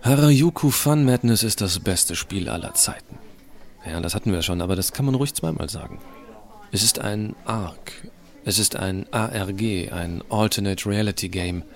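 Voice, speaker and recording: A man playing a geeky, cheeky teenage boy who tells his story in colloquial, expressive first-person audio drama narration. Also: on the low side, moderate pace, lightly acted. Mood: enthusiastic, cheeky.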